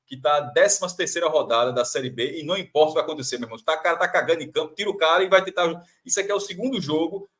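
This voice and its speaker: A Brazilian man breaks down a football match in a fast, animated panel chat. His voice sits a bit high for a man, and he restarts sentences and repeats phrases as he argues his point. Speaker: football commentator. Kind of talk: sports analysis panel chat.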